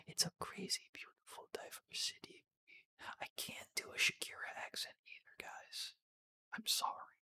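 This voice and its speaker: A person whispering as they read a film screenplay aloud in an ASMR style, narrating and voicing the parts with a breathy voice. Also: sharp tone.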